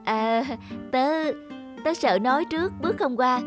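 A woman's voice: high-pitched, expressive, unhurried, soft background music. A woman voicing an earnest, apologetic schoolboy in a cartoon, explaining himself. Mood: earnest, sheepish. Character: earnest, apologetic schoolboy, devoted big brother. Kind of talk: cartoon dialogue.

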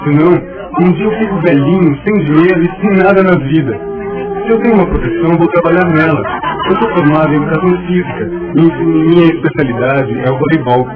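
Actor as polite Brazilian suitor, tinny old radio. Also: male voice, a little high, brisk, distant mic, natural voice. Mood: matter-of-fact. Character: Vicente, polite, earnest male sports teacher courting a woman. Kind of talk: old radio soap acting. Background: music.

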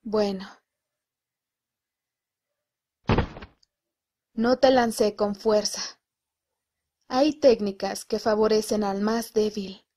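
Slow, cool, aloof anime girl soldier voiced by a woman. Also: medium pitch, mellow. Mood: dry. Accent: Latin American Spanish. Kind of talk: amateur anime fan dub acting.

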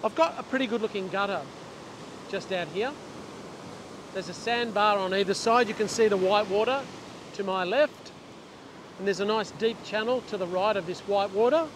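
A man's voice: sits high, moderate pace, long pauses. A middle-aged man talking casually as he fishes and explains on an ocean beach, with a clip-on lapel mic. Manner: chatty, explains while working, restarts sentences. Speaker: fishing channel host. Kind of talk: casual instructional vlog. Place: open ocean beach outdoors, surf. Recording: loud surf and wind noise. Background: crashing waves and wind.

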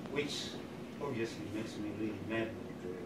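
A man from Uganda in the audience, asking a measured question from the floor. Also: on the low side. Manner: slow, deliberate, measured. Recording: roomy sound from far off, loud hiss.

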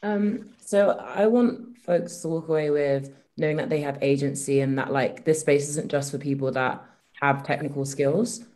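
A woman speaking as a panelist on a video call, relaxed and informal, with many "like"s. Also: sits low, normal speed. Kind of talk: casual panel discussion.

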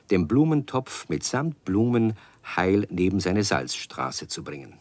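A man acting as storyteller in a children's radio play, narrating in measured storybook fashion. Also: deep voice, no room sound, full character voice. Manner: measured storybook telling, addresses listeners. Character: male storyteller of a children's tale.

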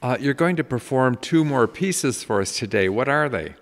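A man's voice: medium pitch, expressive, normal speed. A man hosting an interview, asking short direct questions. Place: large wood-panelled hall.

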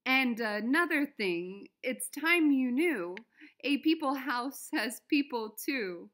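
A woman reading a children's storybook aloud in a deliberate, expressive way for young listeners. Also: high-pitched. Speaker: children's book reader.

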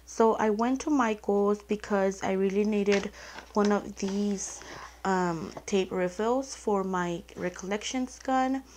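A woman vlogger chatting casually through a craft haul, her voice a bit off from being sick, rambling and excitable with lots of fillers. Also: medium pitch, slight background noise. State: sick, voice sounds off. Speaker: planner vlogger. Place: indoors at a tabletop, hands only on camera.

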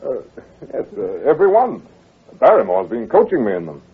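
An actor playing a genial, trusting gentleman heir in an old radio drama, husky and a little hesitant, on a muffled, tinny recording. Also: medium pitch, wildly swooping pitch, fairly quick, full character voice. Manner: hesitant, stammering, hearty.

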